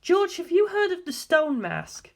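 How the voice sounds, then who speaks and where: A woman voicing an intent, earnest young woman who explains dark legends deliberately and emphatically in an audiobook. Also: very high voice, very animated, vocal fry, pitched above the performer's own voice, full character voice. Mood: earnest, probing.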